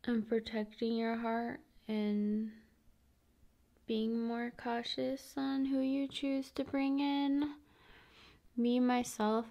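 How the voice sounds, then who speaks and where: A woman speaking softly and often in a whisper, in an intimate, soothing ASMR style addressed to the viewer. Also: fairly high pitch, muffled recording. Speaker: ASMR video host.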